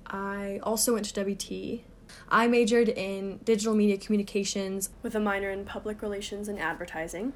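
A woman's voice: mid-range voice, fairly even intonation, brisk. A woman in her twenties from Texas, co-hosting a podcast and telling stories in a chatty, giggly way. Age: 20s. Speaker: podcast co-host. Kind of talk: casual chat.

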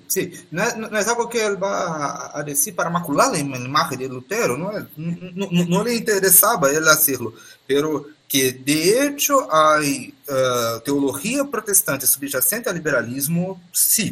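A man from Brazil with a Brazilian Portuguese accent, a guest on a podcast interview over a video call, speaking Spanish haltingly with many restarts and "ah" fillers. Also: fairly high pitch, big pitch swings, a bit bright, slightly rough. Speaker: guest expert.

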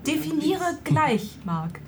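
A woman's voice: high-pitched, big pitch swings, slow pace. A woman playing a tabletop game in character, polite and hesitant with many fillers, music playing behind. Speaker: player.

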